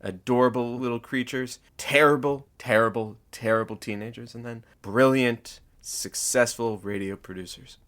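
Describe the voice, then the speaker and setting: A man's voice: mid-range voice, steady tone, normal speed, few pauses, fairly bright tone, close to an everyday voice. A wry radio producer played by a man in a casual audio sitcom. His delivery is dry and bantering, with a teasing edge.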